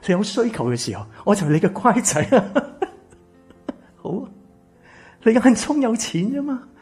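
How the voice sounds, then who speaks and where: A man acting a bitter, exploited grown son in a radio drama, with a Hong Kong Cantonese accent and an emotional, breathy delivery. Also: high-pitched, big pitch swings, measured pace, full character voice. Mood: mocking, menacing. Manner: emotional, stammering, swinging from shouts to whispers. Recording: music behind the voice.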